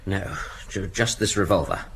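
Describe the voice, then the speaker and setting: An actor playing an indignant, self-pitying middle-class husband under suspicion in a radio drama, flustered and protesting. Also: male voice, low voice, normal speed, a little hiss or hum. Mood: nervous.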